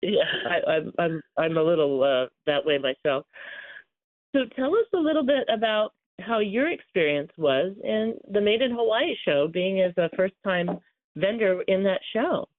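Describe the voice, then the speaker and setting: A woman's voice: medium pitch, big pitch swings, moderate pace, narrow, tinny audio. A woman hosting a chatty interview, her voice slightly scratchy from talking a lot, effusive and welcoming. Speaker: radio show host. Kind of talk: casual interview.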